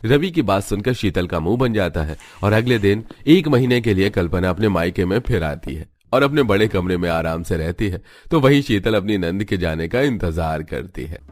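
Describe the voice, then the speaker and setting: Male narrator for animated family stories, lower-pitched, scripted storytelling delivery. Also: moderate pace, few pauses, no echo, clean studio sound.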